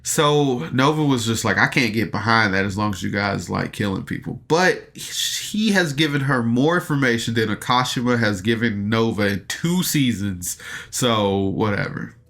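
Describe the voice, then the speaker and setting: A man's voice: on the low side, expressive, moderate pace, creaky, fairly bright tone. A man hosting a review podcast, casually recapping an audio drama in an informal, rambling way.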